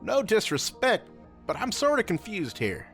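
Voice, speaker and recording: Man playing a cocky young masked hero, sarcastic, swears, lively up-and-down voice, faint music. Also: sits high, heavily acted. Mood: bewildered. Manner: sarcastic, casual, quick to swear. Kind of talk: fan audio drama.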